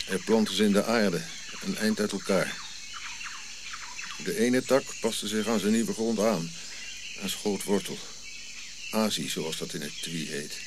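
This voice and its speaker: An old prince in exile, dignified and weary. His low voice moves in measured, solemn phrases, as in a radio play. Clear nature noise of crickets and birds sits behind him. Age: older.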